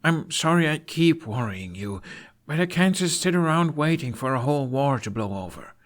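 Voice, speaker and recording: A man's voice: on the high side, lively intonation, normal speed, voice pushed up, lightly acted. A man voicing an anxious, earnest young son who pleads and stammers, in an audiobook-style story reading. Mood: apologetic, earnest.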